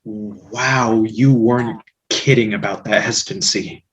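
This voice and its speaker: A woman's voice: extremely low pitch, a little slow, rough recording. A woman in a comedic play reading, playing a scheming, exasperated parent with a theatrical, sarcastic delivery.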